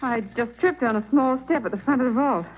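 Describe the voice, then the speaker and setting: A tinny, faintly hissy old radio recording of a woman acting as an eager young assistant. Her highish voice is curious, in 1940s drama style. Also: brisk, lightly acted. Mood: dismayed.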